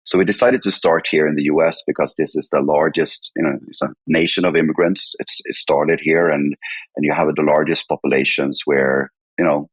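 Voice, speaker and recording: A man's voice: a bass voice, fairly even intonation, a little fast, slightly rough, dry recording. A man from northern Sweden with a Swedish accent, answering interview questions conversationally as a podcast guest, sometimes repeating words as he explains. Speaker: podcast guest, company chief executive.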